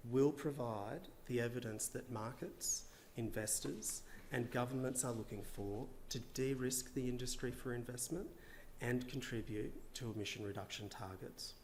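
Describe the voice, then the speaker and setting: A man, a government official, giving a measured conference presentation with a slightly hoarse, tired voice and frequent filler words. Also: mid-range voice, a little mellow, a little hiss or hum. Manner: measured, partly read remarks with frequent uhs. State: losing his voice.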